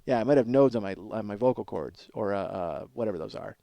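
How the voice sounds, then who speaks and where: A man in his forties with a slightly hoarse voice, hosting a podcast as a casual comedic monologue, rambling, profane and self-deprecating. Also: lowish voice, expressive, fast talker, mellow, dry recording. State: slightly hoarse from strained singing. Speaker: podcast host.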